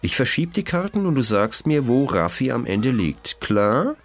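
A man's voice: medium pitch, very animated, normal speed, pitched above the performer's own voice. A man voicing a shady, cocky teenage card forger with growling, slangy hustler talk in a children's audio mystery. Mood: cocky, sly.

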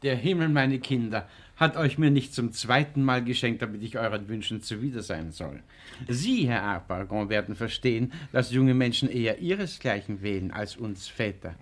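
A man's voice: mid-range voice, animated, moderate pace, close to an everyday voice. An actor playing a noble, generous older father in a classic radio play, solemn and declamatory. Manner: stately, declamatory.

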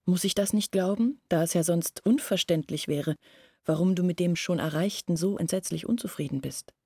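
An actress playing an earnest village woman confiding family secrets in a radio play, speaking deliberately and at times dropping to a near-whisper. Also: on the low side, darkish tone, breathy edge, no room sound, clean studio sound. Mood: urgent, reproachful.

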